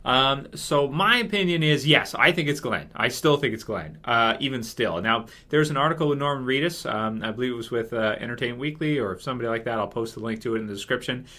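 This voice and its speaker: Bright-voiced man giving casual fan commentary. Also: mid-range voice, lively intonation, fast, few pauses. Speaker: video host.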